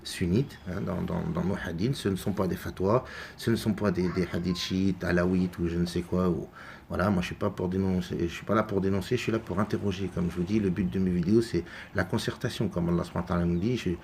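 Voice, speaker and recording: A man from France giving unscripted religious commentary, halting and full of repeated words and fillers. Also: deep voice, fairly even intonation, creaky, a little mellow, slight background noise. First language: French. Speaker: religious video maker.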